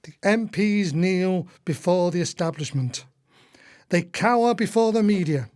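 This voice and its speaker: A man's voice: high-pitched, measured pace, a little mellow. A man acting in a radio play as a retired husband, an indignant, long-winded, disillusioned old socialist. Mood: indignant, exasperated. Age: older.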